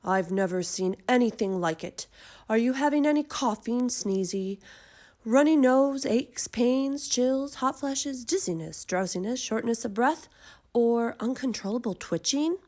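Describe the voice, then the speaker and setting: A fussy male family doctor from a storybook, put on by a woman reader. He sounds pompous and deliberate, bumbling along with measured care. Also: fairly high pitch, lively intonation, a little mellow. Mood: puzzled, brisk.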